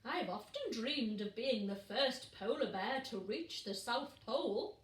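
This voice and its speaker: A big friendly polar bear with a booming, cheerful storybook voice, as a woman reading aloud puts him on. He swings up and down with lively pitch, sounding roomy and a little away from the mic. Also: measured pace, recorded from a distance. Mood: jolly.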